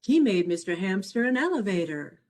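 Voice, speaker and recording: A woman reading her own children's picture book aloud as a storyteller, in an expressive, measured way. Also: medium pitch. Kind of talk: scripted storybook narration for children.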